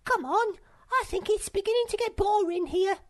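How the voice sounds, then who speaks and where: A storybook narrator voicing a brash, jeering young female monkey with an excitable, screechy, bossy way of talking. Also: very high voice, normal speed, pitched above the performer's own voice. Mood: bored, impatient.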